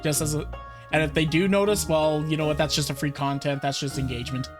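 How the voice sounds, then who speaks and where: A host reacting to an art video and chatting casually about drawing techniques, with relaxed, rambling delivery and plenty of fillers. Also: medium pitch, quick pace. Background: background music.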